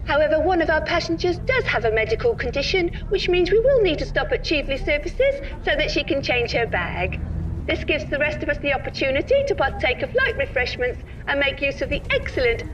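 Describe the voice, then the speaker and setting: An actress voicing an officious coach tour announcer, formal and sing-song over a PA, in an audio comedy. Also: female voice, high voice, sing-song, brisk. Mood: officious, chirpy. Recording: dull, distant PA sound over music.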